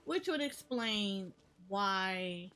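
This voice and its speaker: A woman's voice: medium pitch, lively intonation, very slow, bright, forceful tone, slight background noise. A woman giving casual game reaction commentary, thinking aloud and often repeating herself. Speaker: gaming streamer. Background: game music.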